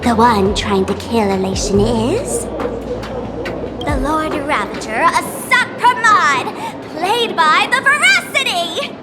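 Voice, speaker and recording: A woman's voice: sits high, expressive, takes it slowly, over a noisy crowd. A woman voicing a playful, theatrical young female trickster in a video game, teasing and showy. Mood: smug, theatrical. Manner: teasing, showy, dramatic declarations. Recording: music behind the voice.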